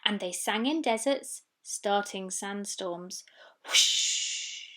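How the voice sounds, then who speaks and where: A woman's voice: medium pitch, extremely slow, sharp tone, rough recording. A woman reading a children's storybook expressively, with dramatic delivery of sound words. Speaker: story reader.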